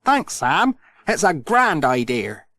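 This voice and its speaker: A storyteller voicing a cheerful, busy village postman who talks brightly and eagerly. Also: medium pitch, very animated, takes it slowly, dry recording, voice pushed up, exaggerated voice. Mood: rueful. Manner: bright, sing-song and eager. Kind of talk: storybook read aloud.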